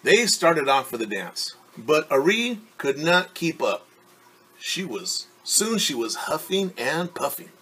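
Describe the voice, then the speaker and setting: A man in his forties reading a storybook aloud as a teacher, pausing to explain words and ask questions. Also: a little high, sing-song, sharp tone, husky. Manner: explains words slowly, asks rhetorical questions. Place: small room at home.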